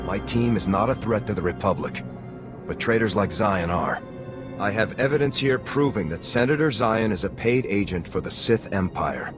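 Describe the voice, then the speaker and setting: An actor playing a tough special-forces major, blunt and terse, in a video game. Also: male voice, on the low side, moderate pace, lightly acted. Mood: resolute. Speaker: squad commander. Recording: music behind the voice.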